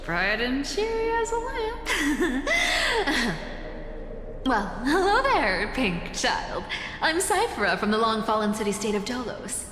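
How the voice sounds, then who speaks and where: Worldly playful rogue, very animated pitch, teasing slow drawl, game dialogue, hall reverb, music behind. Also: female voice, slight background noise, heavily acted. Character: playful, sly, worldly wandering woman, breezy and teasing. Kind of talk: English video game voice acting.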